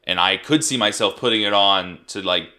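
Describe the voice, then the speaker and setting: A young man co-hosting a movie review chat, rambling with restarts and fillers, with a tired, gravelly voice. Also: lowish voice, normal speed, sharp tone. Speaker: podcast co-host.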